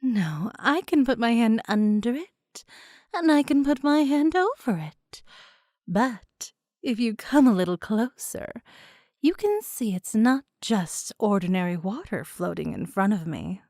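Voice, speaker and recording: A woman acting a mysterious fantasy storyteller, narrating in a deliberate, portentous way. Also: on the high side, expressive, darkish tone, dry recording, studio quality. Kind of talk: dramatic scripted narration.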